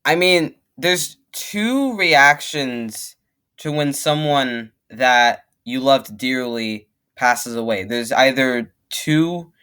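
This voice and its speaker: A reaction video host of unclear sex with a middle-pitched voice. The commentary is casual and full of slang. Sentences come haltingly, with phrases started and repeated. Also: animated, bright, forceful tone, smooth.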